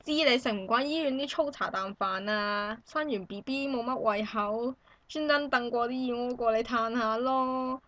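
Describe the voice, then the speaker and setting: A woman's voice: on the high side, normal speed, no room sound, close to an everyday voice. A woman with a Hong Kong Cantonese accent acting an expectant young woman visiting a friend in a satirical radio drama, chatty, exclamatory and indignant. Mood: friendly, cooing. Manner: animated, exclamatory, colloquial.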